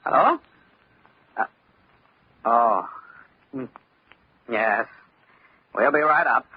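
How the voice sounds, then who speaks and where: A man playing a sharp, tough investigator in an old-time radio detective drama. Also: mid-range voice, lively intonation, very slow, slightly rough, full character voice. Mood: matter-of-fact. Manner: hard-boiled, explanatory. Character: sharp, tough male investigator, brisk and self-assured. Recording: dull lo-fi old radio, faint noise.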